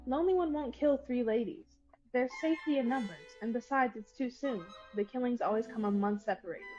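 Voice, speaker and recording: A high-voiced, headstrong young woman, played by an actress in an old-style radio drama. She is firm and scornful, and the sound is slightly muffled. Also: brisk, a little mellow, faint music behind, close to an everyday voice. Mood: dismissive. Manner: firm, commanding. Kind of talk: 1940s radio-play acting.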